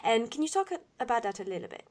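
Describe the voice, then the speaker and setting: A woman's voice: on the high side, sing-song, very fast, a little mellow. A woman from Belgium hosting an interview, talking in a supportive, chatty way with fillers. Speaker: interviewer, podcast host. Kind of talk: casual interview chat.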